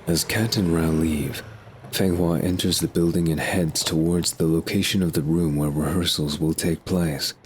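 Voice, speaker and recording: A man's voice: rumbling low, steady tone, moderate pace, slight background noise. A man reading scripted narration for an audio drama.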